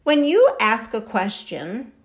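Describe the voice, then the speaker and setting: A woman's voice: a little high, big pitch swings, slow. A woman giving a casual motivational talk, chatty and enthusiastic, full of "like" and "right?". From Wisconsin. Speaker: podcast host.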